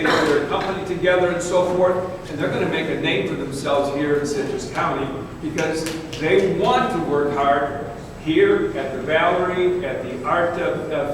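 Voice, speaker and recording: A man giving an unscripted live introduction of a show to an audience, haltingly searching for his words. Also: a little high. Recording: very echoey hall, distant mic, clear noise.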